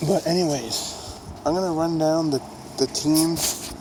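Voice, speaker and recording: A man's voice: fairly high pitch, fairly even intonation, unhurried, noisy background. A young man with a congested, nasal voice rambles through a casual baseball vlog outdoors, with many restarts and fillers. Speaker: vlogger. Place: outdoors near buildings. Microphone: phone camera held low.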